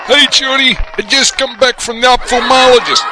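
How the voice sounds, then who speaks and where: A man's voice: very high voice, steady tone, normal speed. A man playing a crass, sneering American sitcom character who mocks in parody sitcom style. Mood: mocking. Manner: mocking, crass. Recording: very noisy, crowd ambience behind.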